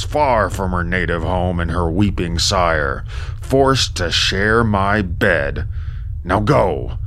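A man's voice: deep voice, a bit bright, a little hiss or hum, heavily acted. A man playing a proud, wrathful king in an epic audio drama, declaiming in a commanding, threatening tone. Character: proud, wrathful warrior king, harsh and menacing.

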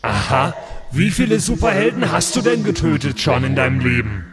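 A man's voice: a little high, sing-song, moderate pace, slightly rough, voice pushed down, full character voice. A man playing a defiant, accusing superhero in an improvised audio role-play, with a confrontational, sarcastic manner. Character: defiant, accusing young superhero Reden, grieving brother.

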